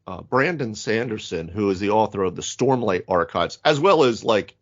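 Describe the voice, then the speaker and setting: A man's voice: fairly low pitch, expressive, moderate pace. A man co-hosting a casual gaming podcast, rambling emphatically with plenty of hyperbole. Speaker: podcast co-host, game master. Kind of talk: casual tabletop gaming discussion. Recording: dull lo-fi audio.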